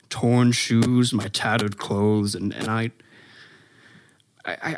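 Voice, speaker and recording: An actor playing an exhausted, haunted professor who survived an invasion, delivering a halting, brooding radio play monologue. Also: male voice, lowish voice, dry recording, close to an everyday voice. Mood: bereft, halting. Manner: halting, brooding, stammering over words.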